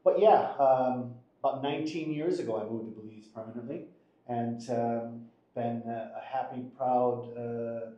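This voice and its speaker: A middle-aged American man giving measured, explanatory interview answers as an attorney, pausing between phrases. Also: mid-range voice, fairly even intonation, mellow, dull audio. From Buffalo, western New York, United States. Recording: very echoey room.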